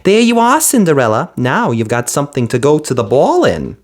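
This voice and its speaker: A woman's voice: deep voice, huge pitch swings, pitched above the performer's own voice, exaggerated voice. A woman doing a snappish fiery fairy godmother. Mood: bossy. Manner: brisk, bossy, abrupt commands. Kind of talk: storybook read-aloud.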